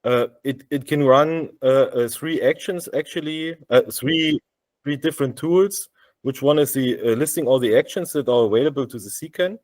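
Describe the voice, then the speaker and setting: A man with a German accent walks through a software demo over a video call. He talks in a hesitant way, full of fillers and restarts, in a dry, close sound with a middle pitch. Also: moderate pace, dry recording. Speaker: software developer presenting. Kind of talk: live screen-share demo on a video call.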